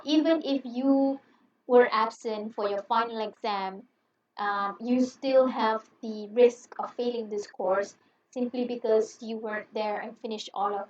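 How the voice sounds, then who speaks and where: A woman's voice: on the high side, a little hiss or hum. A young woman whose first language is Malay, giving a recorded English lecture over a headset from a small room at home in a friendly, chatty way with many fillers. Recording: roomy, distant, dull lo-fi sound.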